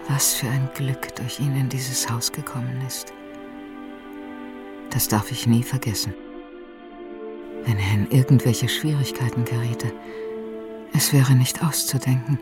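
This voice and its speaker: A woman's voice: extremely low pitch, fairly even intonation, unhurried, pauses often, music in the background. An actress playing an anxious, devoted married landlady in a radio drama, deferential and earnest. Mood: tender, worried.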